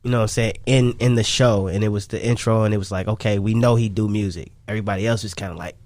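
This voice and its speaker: Low-voiced man chatting casually. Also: steady tone, quick pace, a little mellow, no echo. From Chicago, United States. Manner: repetitive, peppers talk with "you know what I'm saying". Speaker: interview guest, music artist. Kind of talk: casual interview chat.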